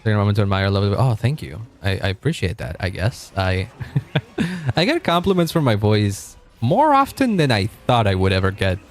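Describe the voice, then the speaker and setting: Deep-voiced man rambling on stream. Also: very animated, moderate pace. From Argentina. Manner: rambling, self-correcting, chuckling, reading chat aloud. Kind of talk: casual livestream chat.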